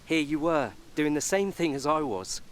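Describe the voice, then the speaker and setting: Animated, defiant grown-up son played by a man. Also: a little high, moderate pace, a little hiss or hum, lightly acted. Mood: wry, softening. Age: young adult. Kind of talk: naturalistic radio soap acting.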